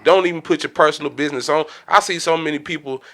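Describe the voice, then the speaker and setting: A man's voice: a little high, quick pace, fairly bright tone. A man in a casual, unscripted group discussion, emphatic and repetitive, often checking that he is understood. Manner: repetitive, emphatic, peppered with "you know what I'm saying". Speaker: podcast panelist.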